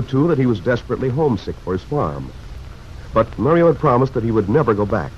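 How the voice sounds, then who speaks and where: A man with a fairly low voice narrates a radio serial. He delivers scripted storytelling in an old broadcast style. The recording is muffled, with faint noise underneath.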